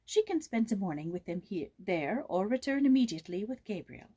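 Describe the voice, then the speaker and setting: A woman's voice: medium pitch, sing-song, a little fast, dark tone, breathy edge. A woman voicing a polite French household maid from a storybook, speaking in a formal, careful way.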